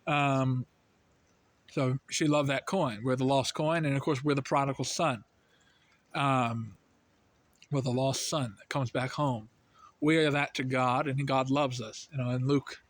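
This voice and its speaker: An American man giving casual religious commentary, earnest and rambling, full of fillers, his voice lifting when he makes a point. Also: medium pitch. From United States. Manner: earnest, rambling, heavy with "you know" and "I mean" fillers.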